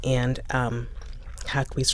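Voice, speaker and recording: Reflective woman, halting delivery. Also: extremely low pitch, monotone, noisy background. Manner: halting, reflective, searching for words. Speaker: playwright, interview guest. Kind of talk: casual panel discussion.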